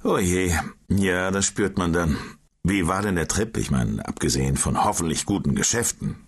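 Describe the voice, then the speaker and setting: Male, low and a little husky, animated questioner in scripted dialogue. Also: moderate pace. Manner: curious, questioning, lively. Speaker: colleague asking questions in a language-course dialogue.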